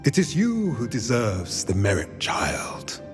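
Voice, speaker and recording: An actor playing a solemn, wise male centaur in a video game, speaking slowly and gravely. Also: medium pitch, wildly swooping pitch. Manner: slow, grave, whispery. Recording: long reverb with music.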